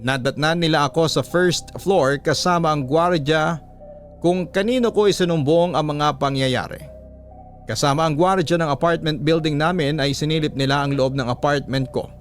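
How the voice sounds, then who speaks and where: A man reads a dramatic story as a radio narrator. His pitch sits a little above average for a male voice. Quiet music runs beneath the narration. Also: normal speed. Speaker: narrator reading a listener's letter. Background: soft background music.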